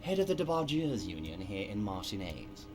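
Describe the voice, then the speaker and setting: Smug, unctuous union boss over loud music. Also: male voice, lowish voice, big pitch swings. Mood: smug courtesy. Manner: formal, unctuous, deliberate. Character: huge, smug union boss, falsely courteous.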